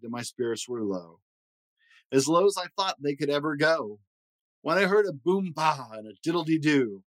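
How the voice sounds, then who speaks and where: A man reading a rhyming children's story aloud in an expressive way. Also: mid-range voice, dry recording, low-quality recording. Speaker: storybook reader.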